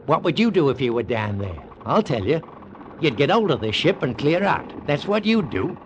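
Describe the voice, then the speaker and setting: Sneering high-pitched first mate. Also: male voice, very animated, normal speed, dull audio. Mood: menacing. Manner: sardonic, rough colloquial speech. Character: hard, sneering ship's first mate, callous and cunning. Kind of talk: old-time radio drama acting. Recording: noisy old radio drama recording.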